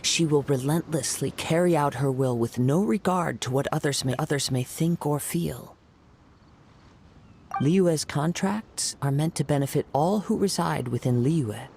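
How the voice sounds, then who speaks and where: An actor playing a calm, poetic young male wandering swordsman in a video game, speaking in a composed, measured, formal way. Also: on the high side, creaky, a little hiss or hum. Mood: reflective.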